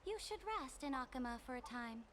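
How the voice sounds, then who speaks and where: A haughty, swooping-voiced young empress. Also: female voice, sits high, animated, a bit bright, slight background noise. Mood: regal, commanding. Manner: regal, measured, haughty. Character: imperious, amused young empress, proud and commanding. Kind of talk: dramatic video game voice acting.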